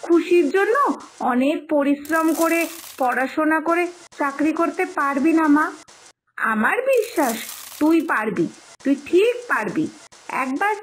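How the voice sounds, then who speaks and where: A woman's voice: sits high, animated, a little slow, a little hiss or hum, low-quality recording. A woman voicing a doting, hard-working village mother in a cartoon moral story, with a coaxing, explanatory manner that repeats phrases. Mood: patient, coaxing.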